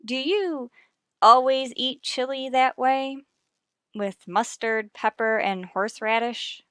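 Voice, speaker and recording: An American actress playing a wry, sardonic schoolteacher with dry delivery in a vintage radio sitcom. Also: female voice, on the high side, slow, pushed and bright, clear, resonant tone, no room sound. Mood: dry, coaxing.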